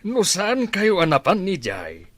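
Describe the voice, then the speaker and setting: A man's voice: sits high, huge pitch swings, a little slow, fairly bright tone, no room sound, exaggerated voice. A man with an Ilocano accent playing a stern, exasperated middle-aged father in a radio drama, exclaiming and scolding.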